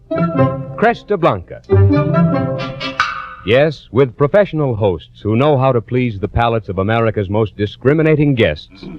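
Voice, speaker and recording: A man announcing formally and grandly in 1940s radio style, with deliberate enunciation. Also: medium pitch. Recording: dull vintage radio recording, faint music.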